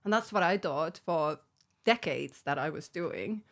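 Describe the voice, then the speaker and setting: A woman's voice: deep voice, lively intonation, unhurried. A middle-aged woman from Finland with a Finnish accent, talking frankly about her recovery as a podcast guest and laughing often between phrases. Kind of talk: candid personal recovery story.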